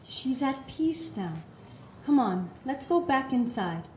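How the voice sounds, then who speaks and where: A high, lively-pitched young nun played by a woman speaks soothingly in a live radio play. She is gentle and reassuring, in a mellow voice. The room echoes around her with some noise. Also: takes it slowly, full character voice. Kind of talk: live stage radio theatre acting. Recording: roomy live stage, clear background noise.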